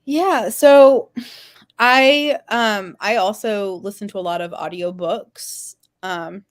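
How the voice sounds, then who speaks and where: A woman panelist chatting casually in a halting, informal way with many filler words. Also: medium pitch, no room sound, studio quality.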